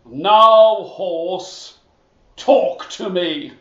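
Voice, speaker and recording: A man's voice: sits high, extremely slow, a bit bright, reverberant, highs cut off, full character voice. A man voicing a greedy, demanding male king in a fairy tale, with a pompous manner.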